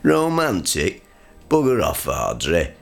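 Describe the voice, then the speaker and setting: A man playing a grumpy, crude working-class father in a radio comedy sketch, gruff, sarcastic and blustering. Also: low-pitched, wildly swooping pitch, slow, soft background music, full character voice. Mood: ranting.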